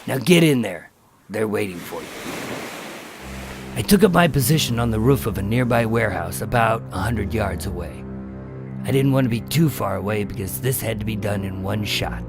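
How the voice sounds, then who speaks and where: A man playing a weary, calculating undercover agent, terse and measured, in a noir crime radio drama. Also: fairly low pitch, creaky. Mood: stern, commanding. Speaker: lead actor and narrator. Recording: music under the voice.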